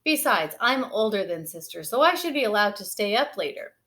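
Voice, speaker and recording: A woman's voice: medium pitch, sing-song, brisk, fairly bright tone. A woman voicing a stubborn young boy bear cub who argues and protests, in a children's picture-book read-aloud. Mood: protesting. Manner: protesting, argumentative.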